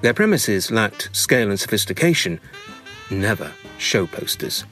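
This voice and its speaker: A man's voice: fairly low pitch, sing-song, moderate pace, fairly bright tone. A British man reads a humorous scripted memoir in a wry, mock-grandiose manner, savouring ornate phrases. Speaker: narrator, reader of a memoir. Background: music.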